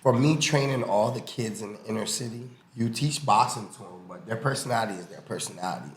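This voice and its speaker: A man's voice: on the low side, brisk. A man speaking casually and emphatically as a boxing coach and podcast guest, telling personal stories and explaining with examples. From born in the US, early childhood in Haiti, then raised in New York. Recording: echoey room with faint background noise.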